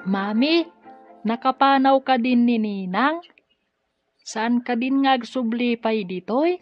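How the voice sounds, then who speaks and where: A woman's voice: a little high, takes it slowly, faint music behind. A woman with an Ilocano Filipino accent voicing an innocent, pleading small child in a radio drama. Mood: curious, pleading. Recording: dull, lo-fi radio drama recording.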